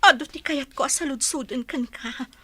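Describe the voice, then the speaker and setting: Woman acting very high, bright, distressed young bride. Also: moderate pace. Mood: grave. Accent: Filipino. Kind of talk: melodramatic audio drama acting.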